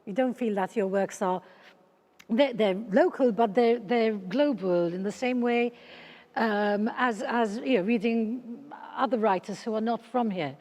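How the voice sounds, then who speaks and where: A woman's voice: on the high side, a little slow, a little mellow. A woman interviewing writers, speaking hesitantly with many "um"s. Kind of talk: panel interview. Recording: long hall reverb.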